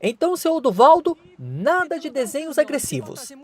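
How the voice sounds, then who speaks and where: A Brazilian woman narrates a TV news report from a script. Her voice is fairly high and very lively in pitch. She announces each point with clear emphasis. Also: normal speed. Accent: Brazilian Portuguese. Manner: expressive, announcing.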